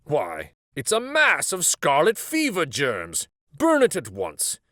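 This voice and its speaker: A man plays a bossy storybook doctor who scolds with great emphasis. The pitch is raised and swoops widely, brusque and dismissive. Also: huge pitch swings, slow pace, a bit bright, no echo, studio quality, exaggerated voice. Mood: indignant, dismissive.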